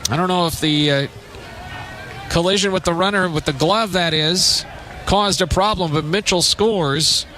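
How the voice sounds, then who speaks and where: A man doing live radio baseball play-by-play in clipped phrases mixed with measured description. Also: high voice, pushed and bright, a little hiss or hum. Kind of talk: live radio sports commentary.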